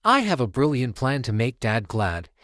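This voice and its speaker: A man voicing an eager, excitable young boy cat in a children's storybook, with an earnest, exclamatory manner. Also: medium pitch, moderate pace, dry recording, clean studio sound. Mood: eager, determined. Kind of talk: children's storybook read-aloud.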